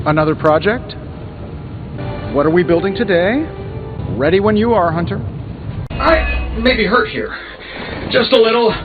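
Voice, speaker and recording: An actor playing a wisecracking, easygoing wealthy superhero inventor in a video game, talking in a glib, wry, conversational way. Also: male voice, sits high, animated, a little slow, long pauses, phone-quality sound, over music. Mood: wry, breezy. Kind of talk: video game dialogue acting.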